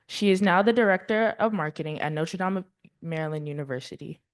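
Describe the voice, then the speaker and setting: A woman's voice: on the low side, fast talker. A woman introducing panelists at a university event, reading formal biographies with a few small stumbles.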